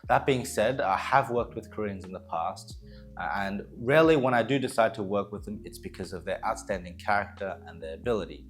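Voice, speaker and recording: A man speaks in a low voice over soft background music. He sounds like a coach addressing fans, explaining team news in an earnest, measured way. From Australia. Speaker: esports coach. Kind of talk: prepared team announcement to fans. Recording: music playing behind the voice.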